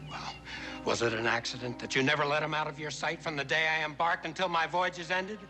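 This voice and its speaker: An actor playing a bitter, stubborn former sea captain, a husband and father, arguing forcefully in an old television western. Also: on the high side, fairly even intonation, fairly quick, over music, natural voice. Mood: angry, bitter. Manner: forceful, argumentative. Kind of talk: 1960s TV western drama acting.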